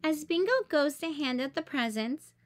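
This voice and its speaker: A woman's voice: high voice, lively intonation, normal speed. A woman reading a children's picture book aloud like a teacher, expressively acting out the dialogue.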